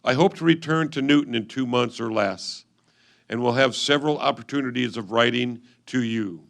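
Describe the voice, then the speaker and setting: Male reader for reader's theatre: historical letters, solemn, measured, with noticeable gravel in the voice. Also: medium pitch, a bit bright.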